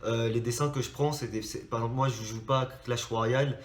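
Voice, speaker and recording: A young man from France chats to his viewers with a blocked, nasal voice from a cold. He rambles, stops and restarts often, in a middle-pitched voice. The room around him sounds echoey. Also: fairly even intonation, a little fast. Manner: rambling, many hesitations and restarts. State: stuffy nose from a cold. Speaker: drawing channel host. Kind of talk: casual chat to subscribers.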